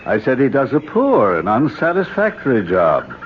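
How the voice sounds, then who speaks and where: An actor in a radio drama playing a stern, condescending archaeologist uncle who speaks in a formal, lecturing way. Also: male voice, medium pitch, wildly swooping pitch, moderate pace. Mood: brisk, blunt. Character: stern, condescending older archaeologist uncle leading a jungle expedition. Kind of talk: 1970s American radio drama acting. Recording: muffled, noisy old radio broadcast.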